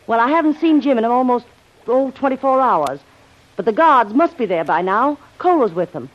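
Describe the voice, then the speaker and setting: An actress playing a plucky, earnest young heroine in old radio drama style. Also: female voice, on the high side, lively intonation, normal speed. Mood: urgent, concerned. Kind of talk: 1940s radio acting. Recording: dull old radio recording.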